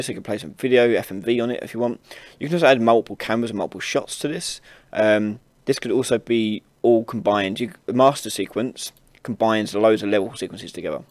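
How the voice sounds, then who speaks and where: A man hosting an informal software tutorial, casually explaining each step as he demonstrates it on a close mic. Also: mid-range voice, no room sound. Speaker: tutorial host. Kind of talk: informal screen-recorded software tutorial narration.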